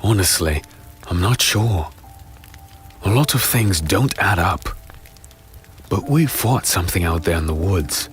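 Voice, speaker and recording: A man playing a weary, brooding fantasy hero who narrates his own dark tale in a deliberate, somber, dramatic way. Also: deep voice, lively intonation. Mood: weary, quiet. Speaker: narrator and lead character. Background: music.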